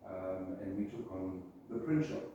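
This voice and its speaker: A man's voice: low voice, animated, measured pace, dark tone, muffled recording. A man in his fifties with a South African accent, telling his business story to a group in a chatty, rambling way. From Zimbabwe. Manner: chatty storytelling, many ums and restarts. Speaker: guest speaker, print shop owner. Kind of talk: casual talk to a business group. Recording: echoey room, from a distant mic, faint noise.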